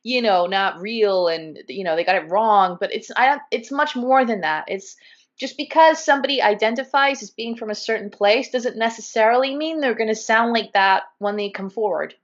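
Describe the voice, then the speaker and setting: A woman with a Californian accent chatting in an animated, casual way, full of fillers like "um" and "like," over a video call. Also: fairly high pitch, moderate pace. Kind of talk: casual conversation. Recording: muffled video call audio.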